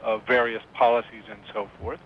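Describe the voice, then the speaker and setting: A man's voice: flat delivery, fairly quick. Male radio caller on noisy dull line. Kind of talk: conversational political discussion. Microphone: phone. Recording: noisy dull call-in line, low rumble.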